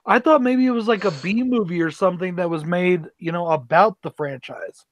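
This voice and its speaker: A man with a high voice hosts a loose hangout chat. He is talkative and jumps in with questions. The sound is dry and close. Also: dry recording, lo-fi sound. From Denver. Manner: talkative, interrupts with quick questions. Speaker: host. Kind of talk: casual group chat.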